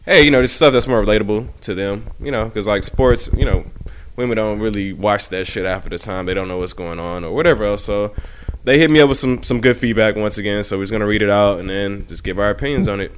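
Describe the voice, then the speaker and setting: A young man co-hosts a laid-back podcast with his nose blocked up by a cold. His voice sits fairly low. A faint hiss sits under the recording. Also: quick pace, hardly any pauses. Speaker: podcast co-host. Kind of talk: casual chat.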